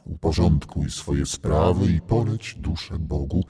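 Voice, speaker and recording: An actor playing Death as a grim, curt stranger with a scythe in an audio fairy tale, slow and ominous, with a husky, gravelly voice. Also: male voice, extremely low pitch, dark tone, faint music behind, full character voice. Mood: solemn, ominous.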